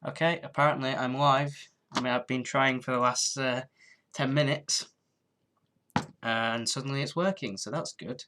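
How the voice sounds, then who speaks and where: A man's voice: medium pitch, steady tone, normal speed, noisy background. A man in his twenties with a head cold, chatting casually on a live stream, tired and congested, rambling and restarting his sentences. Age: 20s.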